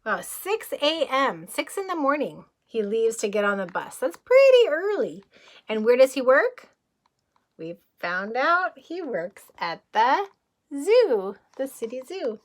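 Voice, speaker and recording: A woman teacher reading a picture book aloud to young children, expressive, with casual asides and questions to the listeners. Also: sits high, slow. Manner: expressive, addresses children with questions and simple explanations.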